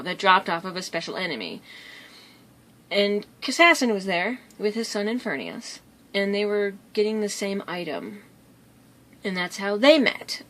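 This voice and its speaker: A woman's voice: medium pitch, fairly bright tone. A woman vlogger telling stories in a casual, rambling way, easily drifting off track. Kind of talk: casual storytelling vlog.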